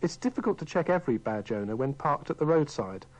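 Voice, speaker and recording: A man's voice: on the high side, lively intonation, quick pace. A man reading a scripted radio report.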